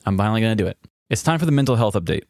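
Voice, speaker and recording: A man's voice: on the low side, very animated, rapid-fire, gravelly, a little mellow, dry recording, studio quality. A man co-hosting a podcast, telling personal stories in a casual, candid and self-reflective way. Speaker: podcast co-host.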